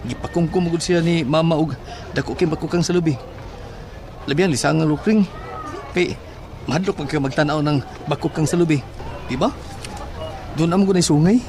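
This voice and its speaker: An actor playing a talkative, easily spooked young schoolmate in a horror audio drama, with a Cebuano accent. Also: male voice, on the high side, a little fast, pauses often, a little mellow, close to an everyday voice. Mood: gossiping, uneasy.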